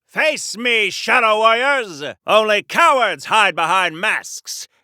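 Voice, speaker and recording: A man's voice: sits high, lively intonation, slow pace, sharp tone, clear, resonant tone, dry recording, studio quality, heavily acted. An actor playing an earnest, boastful, chattering American superhero man from the 1940s, in theatrical old-time radio serial style for a video game. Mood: heroic, indignant. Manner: wholesome old-time slang, theatrical, rambling.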